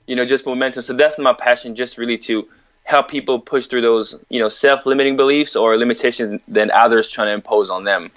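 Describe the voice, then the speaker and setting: A man's voice: mid-range voice, a little fast, fairly bright tone. A man from Africa, a fitness coach, talks easily as a podcast guest and laughs lightly. He fills his talk with "you know". The sound is dull and a bit muffled. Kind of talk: casual interview chat.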